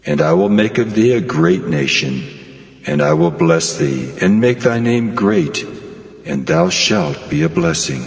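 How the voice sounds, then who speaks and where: An actor playing God as a solemn, commanding divine voice, grave and declamatory, in a dramatized scripture reading. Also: male voice, lowish voice, big pitch swings, measured pace, creaky, full character voice. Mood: solemn, promising. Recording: long reverb, faint music behind.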